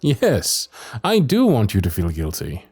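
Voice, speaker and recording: A man's voice: fairly low pitch, huge pitch swings, a little slow, a little mellow, husky, exaggerated voice. A man playing a loyal, protective royal knight in a fantasy ASMR roleplay, speaking in courtly phrases, both teasing and earnest. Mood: stern, pleading. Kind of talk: ASMR roleplay acting, fantasy audio drama.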